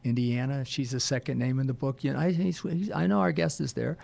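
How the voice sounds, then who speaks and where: Gravelly rambling radio host, man. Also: medium pitch, fairly even intonation, brisk, darkish tone. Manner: rambling, hesitant with many fillers. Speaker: radio talk show host. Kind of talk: casual radio talk, rambling intro.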